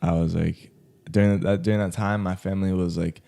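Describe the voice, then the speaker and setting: A man's voice: sits low, steady tone, brisk, creaky, dark tone. A young man from Colombia who grew up in South Florida, talking casually as a guest photographer with lots of "like" fillers and slang. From Colombia, raised in South Florida. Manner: laid-back, heavy use of "like" fillers, slangy. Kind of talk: casual podcast interview. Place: podcast studio.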